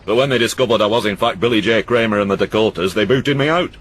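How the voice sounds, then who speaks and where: A man's voice: fairly low pitch, quick pace, fairly bright tone. A man voicing a cantankerous old uncle who mocks and rants. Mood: mocking. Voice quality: gruff, rambling, scornful. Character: cantankerous old northern uncle, sharp-tongued and mocking.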